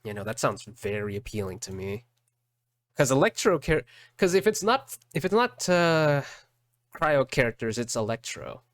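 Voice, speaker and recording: A man giving casual reaction commentary as a streamer, halting and often repeating his words. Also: mid-range voice, sing-song, no room sound.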